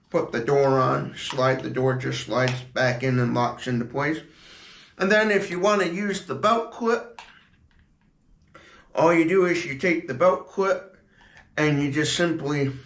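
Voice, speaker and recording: A man giving a casual product demonstration in a halting, repetitive way, explaining as he goes. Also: on the high side, a bit bright. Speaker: product reviewer. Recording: echoey room.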